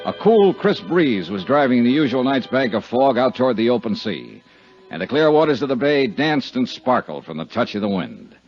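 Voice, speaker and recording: An American man playing a wry, wisecracking insurance investigator who narrates his own case in 1950s radio drama style. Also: medium pitch. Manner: wisecracking, colorful storytelling. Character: wry, breezy freelance male insurance investigator. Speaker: lead actor and first-person narrator. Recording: dull vintage 1950s radio recording.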